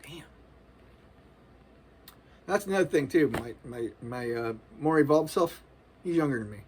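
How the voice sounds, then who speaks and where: A man's voice: on the high side, lively intonation, measured pace, darkish tone, a little hiss or hum. A man in his forties giving a loose, earnest spiritual monologue, casual and full of slang. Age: 40s. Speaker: spiritual vlogger.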